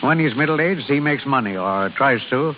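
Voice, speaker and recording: An actor playing a wry, wistful older father giving gentle advice in a vintage radio drama. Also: medium pitch, lively intonation, moderate pace, close to an everyday voice. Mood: wistful, reflective. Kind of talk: 1930s-40s radio drama acting. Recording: hissy, tinny old radio broadcast.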